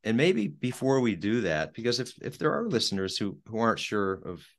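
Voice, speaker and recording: A man's voice: lowish voice, big pitch swings, a little fast. Hesitant male podcast host. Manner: hesitant, stumbles and repeats words. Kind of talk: interview questions.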